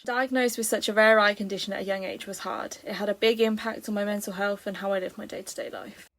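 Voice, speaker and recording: A woman in her early twenties giving a brisk, scripted-sounding personal statement. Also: mid-range voice, steady tone. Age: early 20s.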